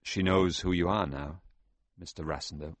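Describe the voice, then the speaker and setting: A man's voice: low voice, steady tone, moderate pace, no echo, voice pushed down. A man voicing a loyal, polite young nobleman in a storybook reading, plain and a little awkward. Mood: earnest. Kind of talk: audiobook character voice.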